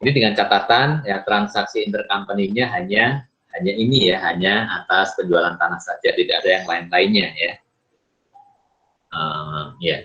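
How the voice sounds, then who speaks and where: A man's voice: low-pitched, fairly even intonation, moderate pace, sharp tone. An Indonesian man giving a step-by-step recorded lecture in a didactic way, often asking questions and answering them himself. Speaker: accounting lecturer. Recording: muffled, lo-fi microphone sound.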